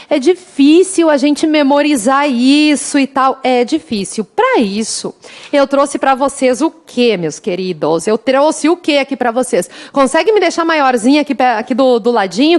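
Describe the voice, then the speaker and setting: A woman's voice: high voice, lively intonation, normal speed. A woman from the interior of Rio Grande do Sul with a southern Brazilian accent, teaching an online law exam prep class in a chatty, teasing way. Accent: interior Rio Grande do Sul Brazilian Portuguese. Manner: chatty, teasing, addresses students warmly as "gente". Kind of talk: lively online class, singing mnemonic samba.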